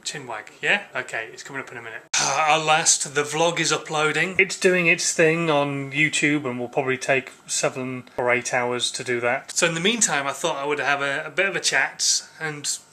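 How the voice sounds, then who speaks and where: A man with an English accent and a cold-hoarsened voice, chatting informally and a little hesitantly to camera as a vlogger. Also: a little high, normal speed, hardly any pauses, sharp tone. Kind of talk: casual vlog talk to camera.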